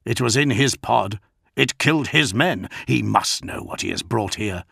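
A man voicing a noble, stern warlord in an audiobook, formal, measured and commanding. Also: lowish voice, animated, gravelly, sharp tone, slightly rough, dry recording, studio quality, exaggerated voice. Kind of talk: dramatic audiobook character.

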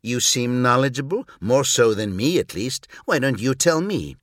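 A man's voice: medium pitch, animated, moderate pace, fairly bright tone, no echo, studio quality. A man voicing a sardonic, weary human man. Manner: sarcastic, bitter. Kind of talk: audiobook reading.

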